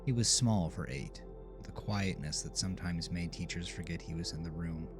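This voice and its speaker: A man narrating a scripted horror audio drama in a measured, literary storytelling manner. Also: low-pitched. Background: music under the voice.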